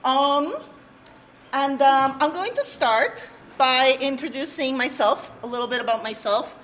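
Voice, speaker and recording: A young woman from Peru presents a workshop, telling her own story in a candid, earnest way and letting out light nervous laughs. Her voice sits a little high. She speaks to an audience in a room without a handheld mic, with a faint hum behind her. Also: unhurried, fairly bright tone. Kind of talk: personal testimony at a workshop. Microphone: no handheld mic, room recorder.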